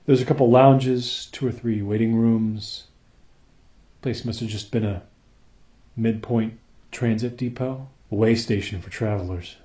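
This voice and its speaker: A man playing a dutiful crewman reporting to his captain in a radio play, earnest, measured and deferential. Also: medium pitch, steady tone, warm tone, close to an everyday voice. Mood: matter-of-fact.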